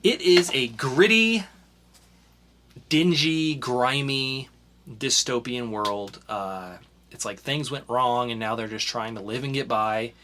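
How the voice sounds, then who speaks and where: A young man co-hosts a casual podcast and reviews comics in an easygoing, rambling way, full of fillers and restarts. His voice is bright and forward, and his pitch sits in an ordinary middle range. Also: slow pace, slight background noise. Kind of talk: casual chat.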